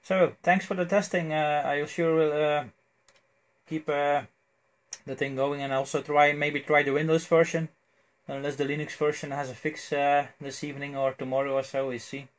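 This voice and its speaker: A man with a Belgian accent chats casually as an amateur radio operator, phrasing things haltingly. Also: fairly high pitch, flat delivery. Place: indoors at a cluttered electronics desk. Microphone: cell phone near the desk.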